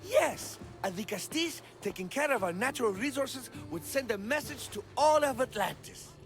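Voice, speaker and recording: Excitable, high-voiced fussy scholar. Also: male voice, moderate pace, over music. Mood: excited. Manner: flustered, wordy, pedantic. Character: eager, fussy male botanist of a mythical city, absorbed in theory. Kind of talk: video game cutscene acting.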